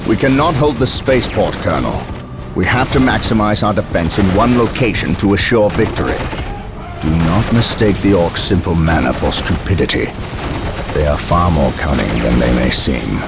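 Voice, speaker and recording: Deep, commanding space marine captain. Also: male voice, animated, moderate pace. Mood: commanding, urgent. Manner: authoritative, formal, declamatory. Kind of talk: dramatic game cutscene acting and mission briefing. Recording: loud music behind.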